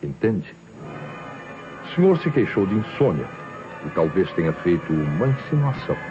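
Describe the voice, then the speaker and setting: Relentless police inspector, Brazilian accent, very low voice, theatrical accusatory delivery, dull vintage radio recording, music behind. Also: male voice, very animated, moderate pace. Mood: confident, explanatory. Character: shrewd, relentless male police inspector exposing a killer. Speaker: radio actor. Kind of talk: old Brazilian radio drama acting.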